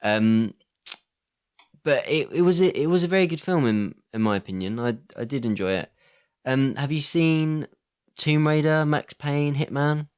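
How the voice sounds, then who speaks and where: A man's voice: a little high, expressive, moderate pace, smooth. A man co-hosting a podcast, talking conversationally and inquisitively in a casual discussion. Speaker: podcast co-host. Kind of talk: casual discussion, posing questions.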